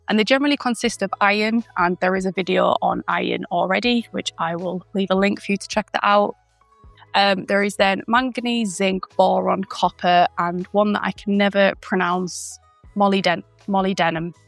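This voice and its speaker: A woman who is unwell and croaky, explaining a topic in a chatty, informal way as the host of a channel. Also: mid-range voice, no room sound. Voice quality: gravelly. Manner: chatty, informal, with fillers and self-corrections. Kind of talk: educational explainer.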